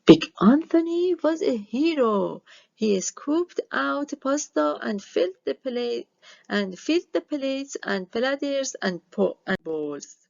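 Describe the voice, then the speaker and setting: A woman narrates a children's book expressively. She takes her time and lets some words crackle into fry. The recording is dry and close. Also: sits high, very animated, takes it slowly, a little mellow, no room sound, highs cut off. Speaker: storybook reader.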